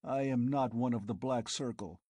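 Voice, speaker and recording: A man's voice: mid-range voice, moderate pace, warm tone, no room sound, studio quality, close to an everyday voice. A man reading an audiobook, voicing a turbaned male magician servant who speaks hesitantly and anxiously.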